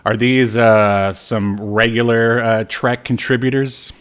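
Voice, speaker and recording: A man co-hosting a podcast in casual chat about film and television, often halting as he searches for words. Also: mid-range voice, little pitch movement, smooth. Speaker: podcast co-host.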